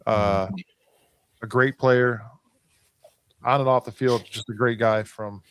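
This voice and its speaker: A man's voice: mid-range voice, steady tone, slow pace, clean tone, dry recording. A man hosting a casual sports chat, talking with enthusiastic emphasis and often repeating himself. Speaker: host. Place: podcast studio.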